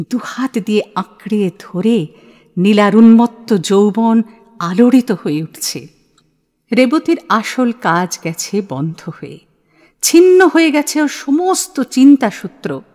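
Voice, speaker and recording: A woman's voice: medium pitch, darkish tone. A woman giving dramatic literary narration for an audio play. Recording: long reverb, music behind.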